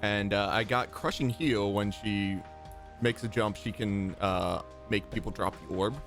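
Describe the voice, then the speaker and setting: A man chatting casually about a game in a hesitant, halting way. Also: lowish voice, a bit bright, faint music behind. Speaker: player.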